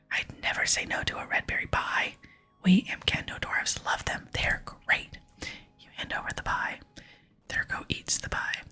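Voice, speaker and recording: A woman whispering in ASMR style, softly and slowly reading video game dialogue aloud. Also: a little high, husky. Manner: soft, slow, hushed reading. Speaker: ASMR gaming channel host.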